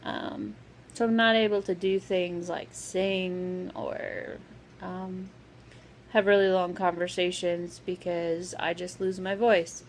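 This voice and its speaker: A woman vlogger talking casually with a sore, scratchy throat, pausing often with lots of "um"s. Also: lowish voice, little pitch movement. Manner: hesitant, full of "um" fillers. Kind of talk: casual vlog update.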